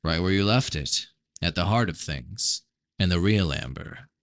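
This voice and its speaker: A man's voice: sits low, lively intonation, measured pace, no echo, studio quality. A man voicing a blunt, suspicious prince in a first-person novel reading, terse and challenging. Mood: blunt, wry. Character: blunt, suspicious fantasy prince interrogating his brother.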